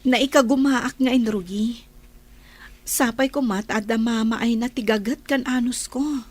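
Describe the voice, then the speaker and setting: A woman's voice: fairly high pitch, a little slow, no echo. A woman with an Ilocano accent playing a hopeful, dutiful young working student in a radio drama.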